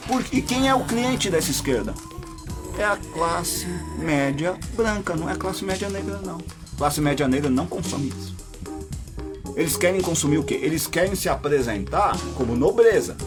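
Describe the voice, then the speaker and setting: A Brazilian man hosting a podcast, delivering an opinionated monologue straight to his listeners and jumping into high mocking voices when he quotes people. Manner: addresses listeners directly as "my dear". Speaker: podcast host.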